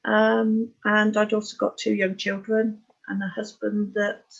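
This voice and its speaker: Husky Englishwoman in her sixties, frank storyteller. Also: mid-range voice, monotone, measured pace, highs cut off. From Oxfordshire, England. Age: 60s. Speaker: interview guest. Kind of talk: candid personal life story in conversation.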